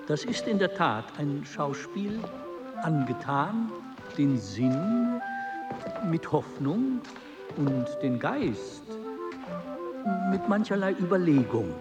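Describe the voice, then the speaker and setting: An actor playing a stately man of letters who declaims in slow, ornate sentences. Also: fairly high pitch, big pitch swings. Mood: solemn. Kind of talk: radio play acting. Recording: loud music bed under voice.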